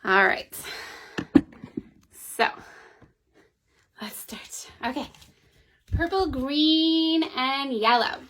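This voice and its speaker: A mother of four reading a children's picture book aloud from a child's bedroom at home, in a friendly, expressive way. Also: high-pitched, drawn-out words, long pauses, a little hiss or hum. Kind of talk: children's story read-aloud.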